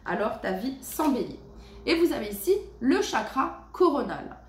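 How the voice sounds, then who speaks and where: A woman with a fairly high voice chats her way through a tarot reading, sprinkling in fillers and slowing for the card texts. She is recorded at home in a roomy space with a faint hum of noise behind her. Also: big pitch swings. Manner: chatty, many fillers, reads card texts slowly. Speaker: tarot reader. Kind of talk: casual tarot reading to camera. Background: cleanup noise outside.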